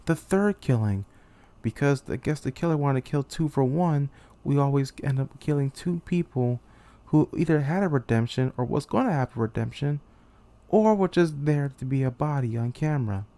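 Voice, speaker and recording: Man acting a despairing young commander, creaky voice. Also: mid-range voice, expressive, warm tone, lightly acted. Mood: bitter resignation. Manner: theatrical, halting, anguished delivery. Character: despairing, betrayed young male military commander in a deadly game. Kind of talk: dramatic monologue, anime-game fan voice reel.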